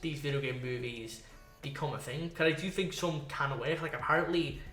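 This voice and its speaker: Higher-pitched rambling man in an echoey room. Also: brisk, a bit bright, soft background music. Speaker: podcast co-host. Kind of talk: casual podcast chat.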